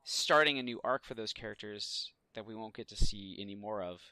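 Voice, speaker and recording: A man with a bright, crisp voice at a middle pitch chats as a podcast co-host. The sound is close and dry, and his delivery is conversational. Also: a little fast, no echo. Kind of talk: casual pop culture discussion.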